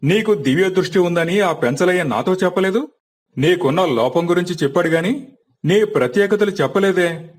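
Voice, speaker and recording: A man voicing an authoritative, skeptical village leader with a measured, persuasive manner. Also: sits high. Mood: suspicious.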